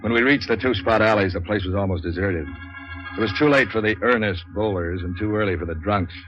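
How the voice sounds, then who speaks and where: An actor playing a cynical, wisecracking American private troubleshooter in a clipped, sardonic 1940s radio noir style. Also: male voice, fairly low pitch, brisk, lightly acted. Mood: weary narration. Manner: clipped, sardonic, tough-talking. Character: hard-boiled, wisecracking male private troubleshooter, world-weary and cynical. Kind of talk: 1940s radio noir acting and first-person narration. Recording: dull 1940s radio recording with music.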